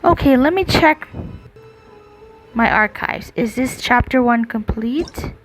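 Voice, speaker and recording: A woman's voice: fairly high pitch, expressive, slow. A woman doing casual gameplay commentary, talking to herself as she plays. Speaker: gaming video creator. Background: game music.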